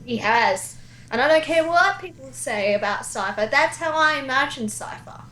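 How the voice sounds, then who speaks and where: A woman's voice: sits high, expressive, moderate pace, sharp tone, roomy sound, a little hiss or hum, poor audio. A woman chatting casually and enthusiastically about video games as a guest who directs fan dubs.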